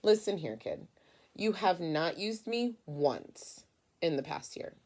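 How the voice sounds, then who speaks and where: A woman's voice: fairly low pitch, big pitch swings, slow pace, a little mellow, full character voice. Woman reading as a miffed pink crayon. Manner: pointed, sarcastic. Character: miffed, neglected pink crayon with sarcastic bite.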